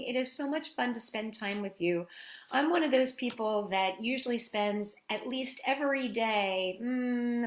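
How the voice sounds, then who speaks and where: A woman hosting a storytime for small children, talking and singing action songs in a sing-song, encouraging way. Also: on the high side. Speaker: children's storytime host and singer. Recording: roomy echo, music behind.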